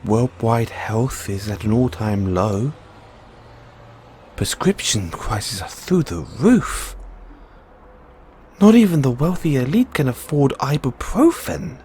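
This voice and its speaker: Man playing an excitable mad scientist, wildly swooping pitch. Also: slow pace, pauses often, slight background noise. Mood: dismayed. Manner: theatrical, geeky, hesitant musing between outbursts. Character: excitable eccentric mad scientist boyfriend with time machine. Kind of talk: audio roleplay acting, addressed to listener.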